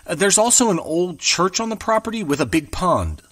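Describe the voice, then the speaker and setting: A nervous young agent, stammering. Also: male voice, high voice, sing-song, moderate pace, a bit bright, far from the mic. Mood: polite. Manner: hesitant, stammering. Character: nervous young male traveling land agent. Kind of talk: audio drama acting.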